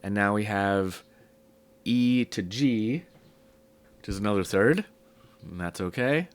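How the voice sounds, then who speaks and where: A man who is sick, his voice low and rough, teaching a casual music theory lesson and thinking aloud with frequent ums. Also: lively intonation, takes it slowly.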